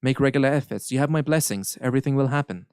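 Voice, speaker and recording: Smooth-voiced man interpreting. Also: mid-range voice, no echo, clean studio sound. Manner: measured, earnest, occasional self-corrections. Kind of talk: live interpretation of a spiritual Q&A.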